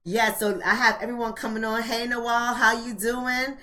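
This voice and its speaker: A woman's voice: a little high, little pitch movement, normal speed, bright, forceful tone. An American woman of about forty hosting a casual livestream, speaking colloquially and straight to her viewers, repeating phrases for emphasis. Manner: colloquial, addresses viewers directly. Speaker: host of a live online book club.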